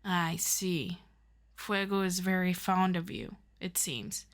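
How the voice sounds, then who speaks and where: A woman playing a calm, formal superior of a superhero team in an audio roleplay, asking measured, probing questions. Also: lowish voice. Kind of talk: scripted audio roleplay addressed to the listener.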